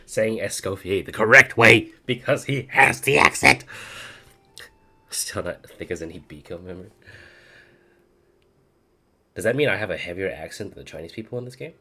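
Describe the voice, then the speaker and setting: A husky, bright-toned man making wry, joking asides while streaming a game. His voice sits low and his pitch moves around a lot. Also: lively intonation, moderate pace, long pauses. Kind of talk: casual game commentary.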